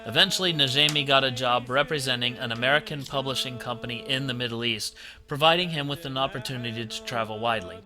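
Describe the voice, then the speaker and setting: A man reading scripted documentary narration in a measured, even way. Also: mid-range voice. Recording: music bed under the voice.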